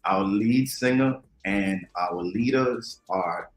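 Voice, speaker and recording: A man from the Bahamas, a guest talking unhurriedly about his music, with many ums and pauses. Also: fairly low pitch. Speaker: DJ and drummer, interview guest. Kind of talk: relaxed conversational interview. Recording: echoey room.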